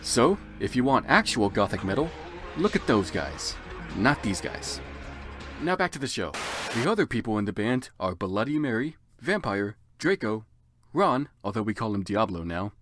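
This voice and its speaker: A man's voice: lowish voice, big pitch swings, moderate pace, fairly bright tone, faint music behind. A man narrating a story theatrically for comedy, with sardonic asides as commentator. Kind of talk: dramatic comic reading with dry asides.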